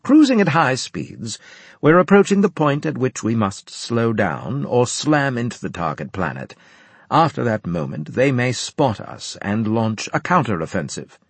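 A man voicing a formal male officer giving a military briefing in an audiobook, emphatic and measured. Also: mid-range voice, big pitch swings, breathy edge, no room sound, voice pushed up, exaggerated voice. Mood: grave, formal. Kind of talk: audiobook character dialogue.

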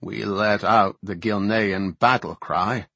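A man's voice: on the low side, steady tone, slow pace, vocal fry, husky, no room sound, full character voice. A man voicing a proud, hot-tempered old warrior king in a fantasy audiobook, boastful and declamatory. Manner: forceful, declamatory. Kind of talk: fantasy audiobook character acting.

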